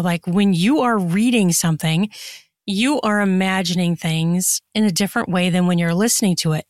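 A woman's voice: medium pitch, no echo. Chatty woman guest, dry close sound. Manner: chatty, explanatory, rambling. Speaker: podcast guest, erotic fiction author and podcaster. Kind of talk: casual interview chat.

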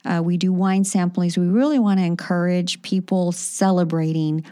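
A woman's voice: on the low side, fairly even intonation, normal speed, warm tone, smooth, dry recording, clean studio sound. A woman hosting a radio talk show, promoting her products with enthusiasm and lots of fillers. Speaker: radio show host and magazine publisher.

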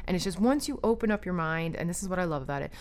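A woman's voice: on the low side, expressive, racing. A woman hosting a talk show, speaking in an enthusiastic, rambling way with many fillers and restarts. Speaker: podcast host. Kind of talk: casual spiritual talk, guided breathing.

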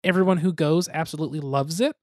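High-voiced man rambling on a podcast. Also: steady tone, quick pace, dry recording, clean studio sound. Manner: rambling, self-deprecating, jokey. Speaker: podcast co-host. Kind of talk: casual podcast chat.

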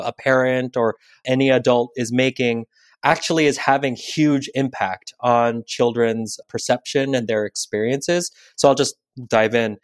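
Male guest, Korean native speaker, reflective storyteller, mid-pitched. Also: no room sound. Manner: thoughtful, personal storytelling. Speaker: podcast guest. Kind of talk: reflective conversational explanation.

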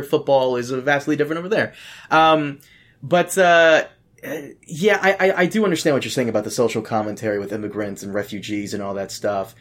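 Laid-back man with an American accent. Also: medium pitch. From Brazil. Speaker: podcast co-host. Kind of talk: casual review chat.

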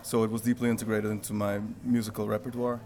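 A man's voice: on the low side, level tone, fast talker, darkish tone. A man, the band's violinist, answering interview questions thoughtfully and often repeating himself. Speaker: violinist in a band. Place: music venue. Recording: busy crowd chatter behind.

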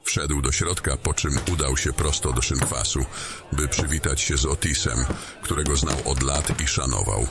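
A man narrating a fantasy audio drama in a measured storytelling way. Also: very deep voice, music in the background. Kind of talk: scripted narration.

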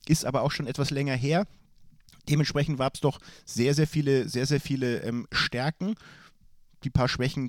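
A man's voice: medium pitch, a little mellow, no room sound, studio quality. A man with a cold, answering interview questions about his club's coach hesitantly, with many fillers and restarts. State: has a cold. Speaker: football club sporting director.

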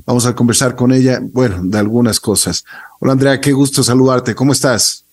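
A man's voice: mid-range voice, fairly quick, darkish tone, husky. Male interview host, conversational delivery, mid-range voice.

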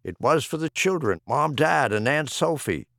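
Animated man reciting rhyming verse. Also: fairly high pitch, moderate pace, vocal fry, no echo, clean studio sound. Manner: theatrical, rhythmic verse delivery. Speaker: audiobook reader. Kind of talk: scripted reading of humorous rhyming poems.

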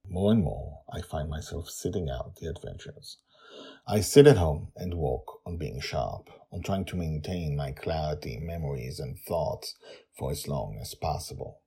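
A man's voice: extremely low pitch, lively intonation, a little slow, gravelly, mellow, slightly rough, full character voice. A man voicing a doting elderly grandpa who tells his own story in a reflective, protective way. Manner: reflective first-person storytelling with sudden calls.

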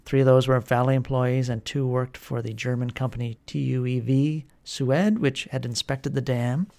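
Male news-podcast host, mid-range voice, steady informative read with some ums. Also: medium pitch, creaky. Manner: measured, informative, with some fillers.

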